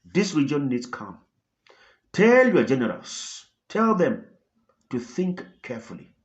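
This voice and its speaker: A man voicing grave, blunt male world leaders in a retold phone call, speaking firmly and deliberately. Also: on the high side, sing-song, voice pushed up. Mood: grave, warning. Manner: heavy, deliberate, firm.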